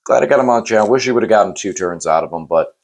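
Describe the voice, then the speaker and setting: A man hosting a casual post-game chat, hoarse and losing his voice, talking in rambling, self-correcting runs and teasing his co-host. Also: on the low side, racing. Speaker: channel host.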